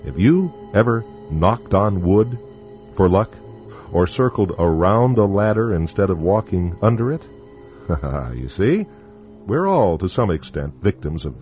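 A man hosting and narrating an old radio drama in a theatrical, knowing manner with dramatic pauses. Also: low voice. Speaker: host narrator. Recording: dull old radio recording with music.

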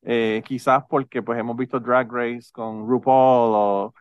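A man's voice: mid-range voice, steady tone, slow, smooth, no echo, dull audio. Chatty Puerto Rican man hosting a podcast. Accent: Puerto Rican Spanish. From Puerto Rico. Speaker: podcast host. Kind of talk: casual conversational interview.

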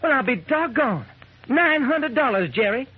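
A man's voice: very high-pitched, very animated, normal speed, slightly rough, narrow, tinny audio, exaggerated voice. A man playing a plain-spoken, dutiful homicide lieutenant in an old-time radio drama. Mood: amazed. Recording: noisy, band-limited old radio broadcast.

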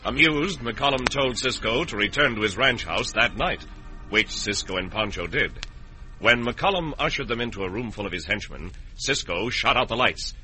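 A man's voice: mid-range voice, animated, moderate pace, pushed and bright, soft background music, rough recording. A man with an American accent narrating as a dramatic old-time radio announcer, reading scripted story recaps. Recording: vintage radio broadcast recording.

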